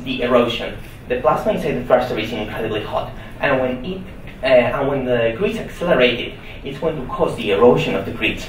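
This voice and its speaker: A man's voice: medium pitch, lively intonation, a little fast, a little hiss or hum. A man giving a technical conference talk, explaining step by step and posing rhetorical questions with fillers. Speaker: conference presenter. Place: conference hall. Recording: echoey hall recording from a distance.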